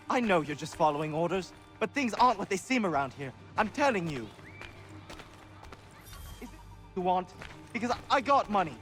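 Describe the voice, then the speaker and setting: An actor playing a slippery captured turncoat who pleads and wheedles informally in a video game. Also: male voice, high-pitched, fairly bright tone. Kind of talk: video game drama acting.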